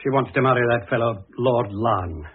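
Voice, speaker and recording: A man's voice: medium pitch, normal speed, a little hiss or hum, natural voice. An actor playing an exasperated, self-important middle-aged businessman father, blustering and indignant in an old-fashioned radio drama. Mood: flustered. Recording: muffled, lo-fi old radio recording.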